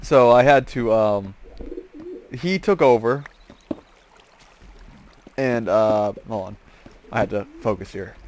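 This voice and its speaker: A man telling a casual story as he hosts gameplay, rambling and halting, often repeating and restarting his phrases. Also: on the low side, darkish tone, slight background noise. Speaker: host of a gaming series.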